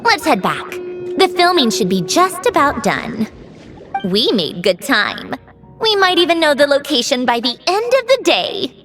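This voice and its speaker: A proud, aloof young game heroine with a very high voice. She is clipped and dismissive, yet her pitch swings widely, with faint music underneath. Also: big pitch swings, unhurried. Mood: businesslike. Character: aloof, brisk young woman, proud, skeptical, secretly soft. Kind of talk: anime-style video game voice acting.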